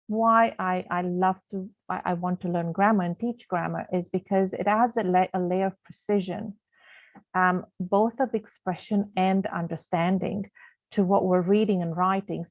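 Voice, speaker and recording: A woman's voice: on the low side, fairly even intonation, unhurried, dry recording. Woman, grammar teacher, from Canada, English as second language, patient, many fillers, tinny call audio. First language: not English. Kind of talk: online grammar lesson.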